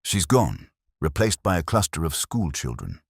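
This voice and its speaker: A man voicing a calm, quiet, shrewd police inspector with dry wit in a slow, understated detective audiobook manner. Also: sits low, creaky, slightly rough, no room sound, heavily acted. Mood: calm, deliberate.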